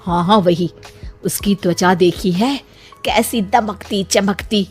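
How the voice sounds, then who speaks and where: A woman's voice: medium pitch, slow pace, loud music behind the voice, close to an everyday voice. A woman voicing an elegant, vain and envious housewife in a cartoon story. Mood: proud, envious. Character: tall, elegant housewife, vain yet envious of a film star.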